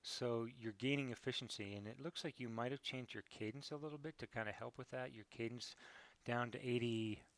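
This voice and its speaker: Male American coach, informal and encouraging, mid-range voice, thinks out loud while giving feedback. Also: medium pitch, fairly quick, low-quality recording. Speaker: cycling coach. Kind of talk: casual spoken review of training data.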